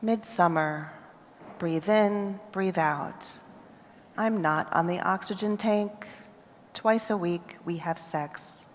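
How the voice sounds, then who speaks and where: An American woman poet reading her poems aloud at a festival, with measured, deliberate phrasing and pauses between lines. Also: fairly low pitch, a little hiss or hum. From United States. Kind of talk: poetry reading. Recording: reverberant hall.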